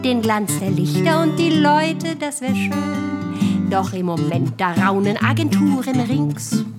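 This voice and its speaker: High-voiced woman singing slowly over guitar. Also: expressive. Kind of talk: singing a cabaret song with guitar. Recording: loud guitar music behind. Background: acoustic guitar accompaniment.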